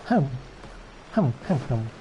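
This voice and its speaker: A man's voice: medium pitch, big pitch swings, takes it slowly, highs cut off, background noise. A man giving casual, slangy gameplay commentary as a streamer, muttering his reactions. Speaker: game streamer.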